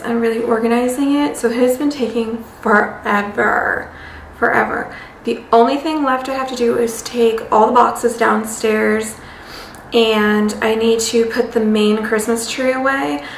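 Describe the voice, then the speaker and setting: A woman's voice: fairly high pitch, roomy sound, recorded from a distance, a little hiss or hum, low-quality recording. A young woman vlogging at home, talking casually to camera with many "like" fillers. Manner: chatty, loose, many "like" fillers. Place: home living room, indoors.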